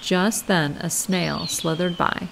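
A woman reading a storybook aloud to young children in an expressive, deliberate way. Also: lowish voice, creaky, noisy background. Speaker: storybook reader. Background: music and sound effects.

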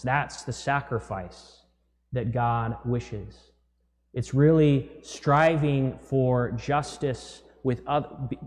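Conversational priest in a reverberant church. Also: male voice, mid-range voice, a little mellow, a little hiss or hum. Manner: conversational, gesturing, with filler words and restarts. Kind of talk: sermon. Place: large church, indoors.

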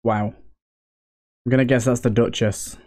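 A man's voice: mid-range voice, flat delivery, a little slow, darkish tone. A man hosting a let's play, chatting casually and thinking aloud as he goes. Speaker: gaming video host.

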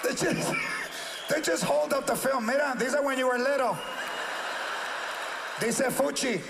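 A man in his forties doing stand-up comedy on a large theater stage with a handheld mic, switching quickly between voices and Spanish phrases. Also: very high voice, fairly even intonation, creaky, reverberant, over a noisy crowd. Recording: live theater show with audience noise. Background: audience noise.

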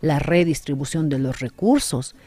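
A woman in her forties, a councillor interviewed in a small radio studio, explaining her views earnestly and with emphasis on a boom-arm mic. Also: animated, moderate pace, dark tone, slightly rough, no room sound. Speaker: guest, city councillor.

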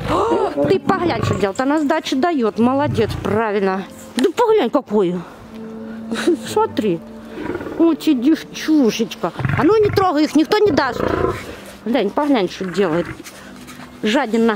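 High, swooping, cooing woman talking to animals. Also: background noise. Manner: cooing, repetitive, playful scolding and endearments. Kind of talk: affectionate baby talk to animals. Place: outdoors beside a zoo lion enclosure. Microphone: handheld camera, speaker behind it.

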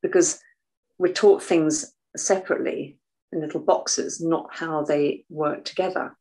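Soft-voiced older woman, low pitch. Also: measured pace. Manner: animated, waves arms while talking. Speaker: guest author and artist. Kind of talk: casual video call interview. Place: home room, indoors. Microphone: video call.

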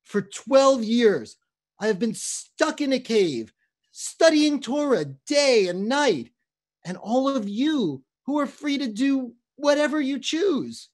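A man voicing an angry old male rabbi who shouts outraged questions in a raised, high voice. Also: expressive, slow, full character voice.

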